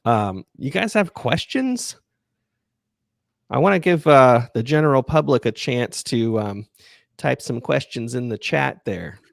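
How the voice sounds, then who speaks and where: A man hosting a podcast livestream, chatting casually and answering listener questions in a halting, self-effacing way. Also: medium pitch, lively intonation, vocal fry, dry recording, studio quality. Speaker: podcast creator and livestream host.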